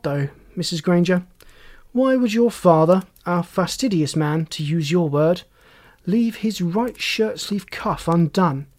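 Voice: medium pitch, darkish tone, close to an everyday voice. Narrator acting wry, commanding police inspector. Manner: folksy, teasing, pointed questioning.

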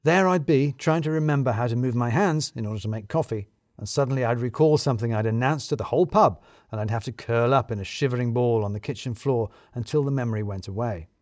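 A man narrating a scripted first-person comic story in a wry, theatrically self-pitying way with deliberate emphasis. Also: medium pitch, darkish tone, dry recording, clean studio sound.

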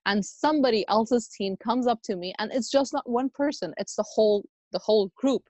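A woman's voice: on the high side, fairly quick, mellow, no room sound. A woman from the United States speaking as an interview guest in an earnest, conversational way, emphatic and fond of anecdotes and rhetorical questions. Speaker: podcast guest, Islamic educator and youth workshop leader.

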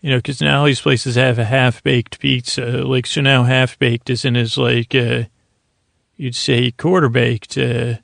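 A middle-aged man with a creaky voice hosts a sleep podcast, giving a gentle, soothing bedtime monologue that meanders, halts and interrupts itself. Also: medium pitch, little pitch movement, unhurried, no echo, studio quality.

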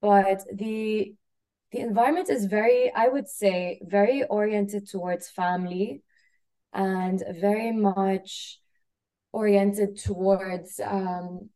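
A woman's voice: mid-range voice, fairly even intonation, slow. A woman from Kuwait, a spiritual coach, talking as a podcast guest in a reflective, casual way with lots of "like" and "you know.". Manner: reflective, many "like" and "you know" fillers, trailing off quietly. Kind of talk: casual interview chat.

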